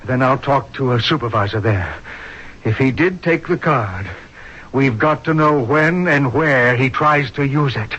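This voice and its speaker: A man's voice: mid-range voice, unhurried, background noise, lightly acted. An actor playing a grave, authoritative male hospital doctor in a 1970s radio drama, speaking emphatically. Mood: pressing. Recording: muffled vintage radio broadcast.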